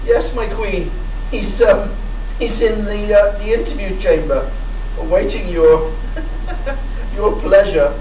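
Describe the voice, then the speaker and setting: A woman playing a submissive, flustered Martian servant who speaks haltingly in a live comic radio play. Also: medium pitch, steady tone, darkish tone, a little hiss or hum, natural voice. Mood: nervous, deferential. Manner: hesitant, halting. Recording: echoey hall, distant mic.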